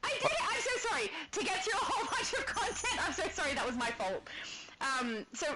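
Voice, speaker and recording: Woman, Australian accent, high voice, very animated pitch, chatty, giggly, streaming a game. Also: fairly quick, very noisy. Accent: Australian, slightly British-tinged. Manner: giggly, chatty, reads chat aloud. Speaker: livestream host. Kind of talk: casual gaming livestream chat.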